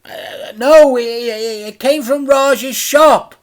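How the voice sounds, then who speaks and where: Man voicing a plucky high-pitched boy. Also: takes it slowly. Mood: nervous fibbing. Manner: earnest, insistent. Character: plucky, defiant young boy.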